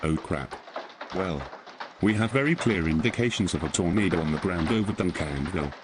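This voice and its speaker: Low male storm broadcaster, acted, animated and clipped, live coverage over clear noise. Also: brisk. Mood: alarmed. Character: alarmed male TV storm reporter covering tornado damage. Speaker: weather broadcaster.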